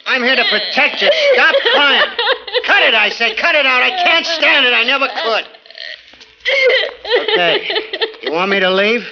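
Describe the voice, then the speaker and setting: An actor playing a bitter, jealous, hard-drinking snoop of a husband, sardonic and menacing, in theatrical 1940s radio melodrama style. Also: male voice, extremely high pitch, very animated, normal speed, long pauses, bright, forceful tone, full character voice. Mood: agitated, furious. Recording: muffled 1940s radio recording with music.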